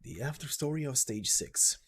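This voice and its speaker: Husky man narrating a game story. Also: medium pitch, animated, normal speed, fairly bright tone. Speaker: narrator and amateur voice actor.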